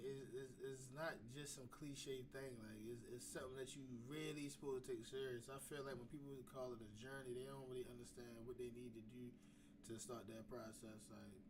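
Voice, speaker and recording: A man's voice: mid-range voice, recorded from a distance. A man hosting a reaction show with casual, slangy, opinionated commentary that often circles back on itself. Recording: clearly noisy background.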